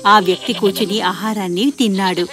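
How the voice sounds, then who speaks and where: A woman narrating a scripted children's fairy tale in an expressive storytelling manner. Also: mid-range voice, a little fast, faint music behind.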